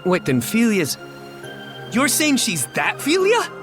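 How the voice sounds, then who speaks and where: A man acting a brash, excitable young adventurer in a video game cutscene. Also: sits high, takes it slowly, music in the background, full character voice. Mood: startled, incredulous.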